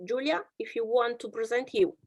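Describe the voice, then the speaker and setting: A woman's voice: a little high, moderate pace, no room sound. A woman hosting a video-call webinar, searching for English words with many fillers and translating for others. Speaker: webinar host and interpreter. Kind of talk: casual video-call presentation.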